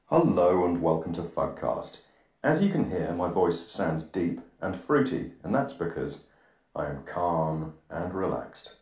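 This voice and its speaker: A man hosting an absurdist comedy podcast, rambling deadpan monologue recorded on a phone. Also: low-pitched, a little slow. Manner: deadpan, rambling, drops articles. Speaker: podcast host. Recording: phone recording with reverb plugin, bathroom echo.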